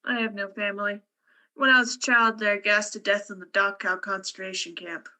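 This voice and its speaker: A woman playing a fatalistic, cerebral male scientist in a science fiction radio play, speaking in a measured, wry way. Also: medium pitch, steady tone, sharp tone. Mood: wry, detached.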